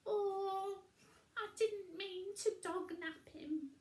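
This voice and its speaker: A woman voicing an excitable, bumbling storybook witch in a dramatic, exclamatory manner. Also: extremely high pitch, very slow, echoey room, pitched above the performer's own voice, exaggerated voice. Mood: flustered. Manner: exclamatory, theatrical, swooping delivery.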